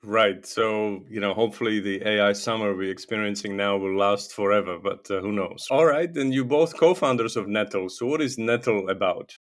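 A man's voice: on the low side, normal speed, few pauses. A man hosting a podcast interview, asking his guests questions in a friendly, upbeat way. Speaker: podcast host.

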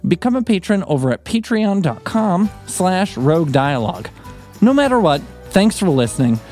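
A man's voice: high voice, very animated, normal speed, darkish tone, faint music behind. A man reading scripted podcast credits and listener requests in a friendly announcer style. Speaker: credits announcer and sound designer.

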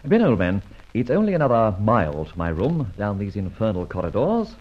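Dull old radio recording of a breezy gentleman adventurer. His pitch leaps about with great animation as he teases and banters in flippant, theatrical style. The voice has a husky grain and plenty of mischief. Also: wildly swooping pitch, fairly quick, full character voice. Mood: brisk, bantering. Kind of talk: mid-century radio drama acting.

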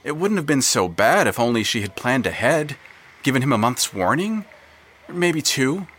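A man's voice: medium pitch, sing-song, moderate pace, a bit bright, husky. A man narrating scripted literary fiction in a dramatic, wry storytelling manner. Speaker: narrator of an audio fiction podcast.

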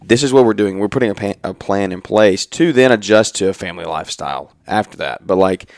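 A man's voice: lowish voice, fairly quick, dry recording, studio quality. A man in his twenties chatting on a podcast at a trade expo, talking in loose run-on sentences full of restarts. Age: 20s. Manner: rambling, many restarts and "like"s. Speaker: podcast co-host, married to co-host. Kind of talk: casual podcast conversation.

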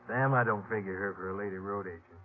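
An actor playing an earnest, easygoing young stagecoach station hand in a 1950s radio western, polite and folksy. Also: male voice, on the low side, close to an everyday voice. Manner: folksy, polite, drawn into explanations. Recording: tinny, hissy 1950s radio recording.

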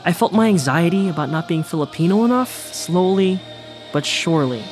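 A man's voice: on the high side, expressive, brisk, over music. A man narrating his own documentary story in a wry, self-deprecating way. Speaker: host and narrator.

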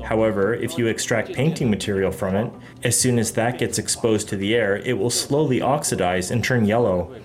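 A man's voice: fairly low pitch, moderate pace, hardly any pauses. A man giving a plain, advisory interview voice-over. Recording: voice-over with loud music behind.

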